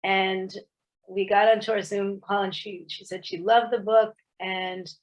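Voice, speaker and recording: A woman's voice: medium pitch, level tone, measured pace, lo-fi sound. A woman author speaking to a book club over a video call, thoughtful and hesitant, often restarting her sentences. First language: English. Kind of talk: casual explanatory talk on a video meeting.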